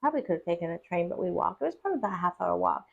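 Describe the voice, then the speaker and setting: A woman's voice: lowish voice, lively intonation, rapid-fire, vocal fry, muffled recording. A middle-aged woman, a podcast guest, chatting casually and rambling through stories with easy laughs. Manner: chatty, rambling storyteller who laughs easily. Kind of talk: casual conversation.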